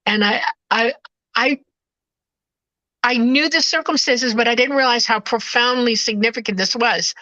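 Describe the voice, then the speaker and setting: A woman's voice: fairly high pitch, moderate pace, bright, forceful tone, dry recording, lo-fi sound. A woman with Swedish as her first language, telling her story earnestly on a video call with hesitant restarts. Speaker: guest. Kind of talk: casual video call conversation.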